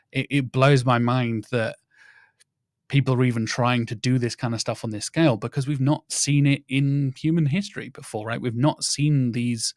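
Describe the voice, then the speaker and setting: A man hosting a podcast, talking in a casual, animated and rambling way and acting out little quoted exchanges. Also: medium pitch, no echo, studio quality. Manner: animated, rambling, quotes others in mock voices. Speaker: podcast host.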